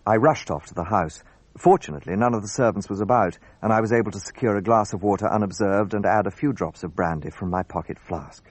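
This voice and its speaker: An actor playing an earnest, gallant gentleman who narrates and acts in a radio drama. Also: on the low side, quick pace, slightly rough, dry recording. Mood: measured narration.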